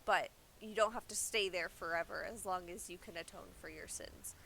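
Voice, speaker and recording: A woman's voice: lowish voice, moderate pace, creaky, pushed and bright, slight background noise. A woman streaming a game, explaining its story in loose, informal casual chat.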